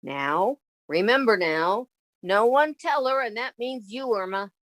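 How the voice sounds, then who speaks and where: A woman's voice: a little high, lively intonation, unhurried, a bit bright. A woman playing a sensible, exasperated young roommate in a 1950s-style radio comedy. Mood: urgent, cautioning.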